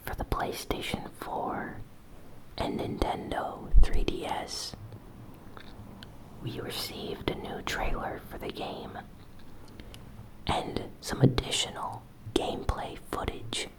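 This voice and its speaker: Slow, whispering woman ASMR news host. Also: low-quality recording. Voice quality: breathy, fully unvoiced whisper. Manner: soft, deliberate, broken into short phrases. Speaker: host of a gaming news show.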